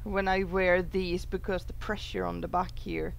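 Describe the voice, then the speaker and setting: A woman hosting a gaming video, chatting casually and reading aloud in a halting, unhurried way. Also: fairly low pitch, little pitch movement, creaky, slight background noise. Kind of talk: casual chat and reading a visual novel aloud.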